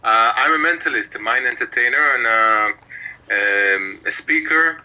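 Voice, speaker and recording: A man's voice: mid-range voice, measured pace, sharp tone, low-quality recording. A middle-aged man from Israel, a mentalist telling his story in an interview, rambling with many fillers. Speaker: mentalist guest. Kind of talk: relaxed interview talk.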